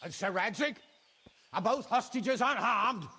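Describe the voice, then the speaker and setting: An actor playing a commanding noble knight in a video game, formal and declamatory, calling out across a distance. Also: male voice, high voice, expressive, unhurried, bright, forceful tone, husky, big-room sound, heavily acted. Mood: firm, formal. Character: commanding male noble knight negotiating a siege. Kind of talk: cinematic video game acting.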